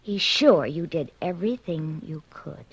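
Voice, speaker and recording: An actress playing a solicitous, plain-spoken woman in a 1940s radio drama, with a husky voice. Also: low-pitched, animated, measured pace, muffled recording. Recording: vintage 1940s radio broadcast.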